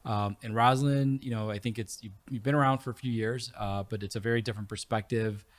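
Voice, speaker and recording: Man, interview host, lowish voice, rambling speech with frequent "you know.". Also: steady tone, a little fast. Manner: rambling, filler-heavy, friendly questions. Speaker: podcast host. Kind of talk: conversational interview.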